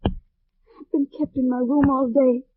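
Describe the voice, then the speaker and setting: A woman's voice: high voice, little pitch movement, slow pace. An actress playing a proud, distressed young French noblewoman with refined, earnest delivery in an old radio drama. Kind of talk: 1940s-50s radio drama acting. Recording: tinny, narrow old radio recording.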